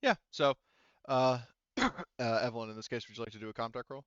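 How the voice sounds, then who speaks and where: Halting, clear-voiced man running a game. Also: mid-range voice, fairly bright tone, no room sound, highs cut off. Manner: halting, rambling, self-correcting. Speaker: game master. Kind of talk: narrating a tabletop roleplaying game casually. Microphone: online voice call.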